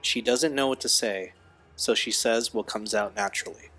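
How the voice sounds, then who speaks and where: A man's voice: lowish voice, moderate pace, sharp tone, soft background music. A man narrating a scripted audio drama as its storyteller. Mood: measured.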